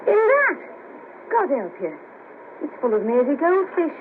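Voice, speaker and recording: Brash, high-voiced Merseyside woman. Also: big pitch swings, normal speed, a little hiss or hum. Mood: wry, amused. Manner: bawdy, chatty, blunt. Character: down-on-her-luck, brash, friendly Merseyside sex worker. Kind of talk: 1970s radio play acting. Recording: patchy narrow-band cassette of 1970s radio broadcast.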